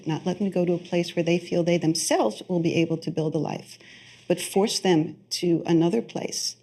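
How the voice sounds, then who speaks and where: A woman lawyer speaking in a measured, deliberate interview manner. Her voice is low and mellow with a gravelly edge.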